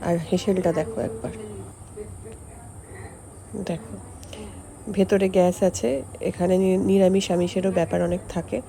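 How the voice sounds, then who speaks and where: A woman's voice: on the low side, fairly even intonation, a little slow, creaky, long pauses. A woman with a West Bengali accent hosting a casual vlog, talking directly and conversationally to her viewers. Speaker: vlog host. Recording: noisy on-location recording with loud ambience.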